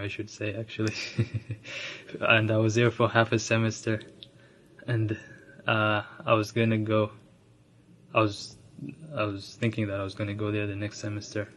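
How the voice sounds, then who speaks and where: A young man from Ethiopia telling his own story, soft-spoken and reflective, with frequent restarts and light laughs. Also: lowish voice. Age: young adult. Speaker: interviewee sharing his immigrant story. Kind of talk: personal storytelling.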